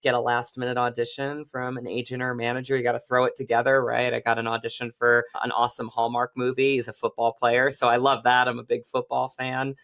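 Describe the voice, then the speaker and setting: An American man speaks as an interview guest in a medium-pitched voice. His delivery is casual and conversational, with frequent little check-ins to the listener at the ends of phrases. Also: monotone, fast talker, few pauses, fairly bright tone. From Virginia, United States. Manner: conversational, often checks in with "right?" and "you know". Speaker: guest, actor.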